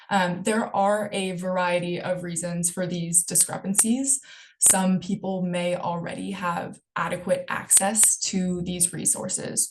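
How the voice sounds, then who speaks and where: A woman presenting survey results in a webinar, explaining the data in a steady way. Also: fairly low pitch, slight background noise, rough recording.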